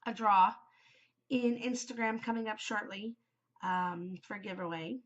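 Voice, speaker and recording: A woman's voice: medium pitch, unhurried, fairly bright tone. Woman host, congested and worn voice, chatty and rambling, dull home recording. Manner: rambling, many ums, chatty. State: congested, voice shot from a cold. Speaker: knitting podcast host. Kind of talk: casual solo chat.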